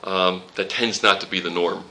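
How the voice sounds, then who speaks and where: Bright-voiced man teaching a Bible class. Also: sits low, steady tone, moderate pace, low-quality recording. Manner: conversational, quotes scripture, jokes with the class.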